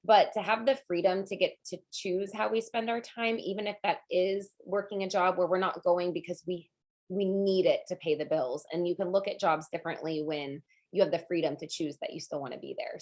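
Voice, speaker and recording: A woman with a raspy voice hosting a video call, chatting casually and laughing often. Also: fairly low pitch, moderate pace. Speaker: host of a team video call. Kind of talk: casual chat.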